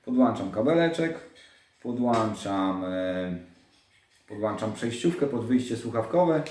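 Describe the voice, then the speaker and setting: A man's voice: lowish voice, lively intonation, a little slow, a little mellow, a little hiss or hum. A man giving a casual, hands-on how-to as a moto vlogger, informal and going step by step with small interjections. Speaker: moto vlogger presenting a gear setup guide. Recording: echoey, roomy indoor sound.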